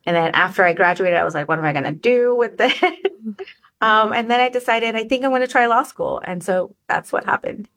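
A woman's voice: a little high, moderate pace, a bit bright. A woman in her forties, from Bangladesh and New York, answering casually as an interview guest. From born in Bangladesh, New Yorker. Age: 40s.

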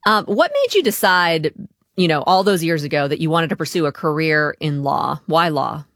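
Woman, interview host, slightly low pitch, radio talk show, close dry sound. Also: big pitch swings, moderate pace, fairly bright tone, dry recording.

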